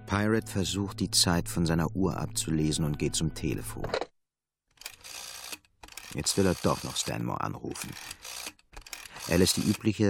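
A man with a gravelly voice narrating a literary audio drama. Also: very deep voice.

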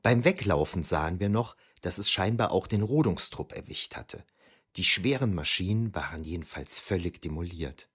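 An actor playing a shaken eyewitness, narrating an audio drama in the first person with deliberate, suspenseful emphasis. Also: male voice, deep voice, breathy edge. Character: shaken eyewitness recounting an eerie forest battle.

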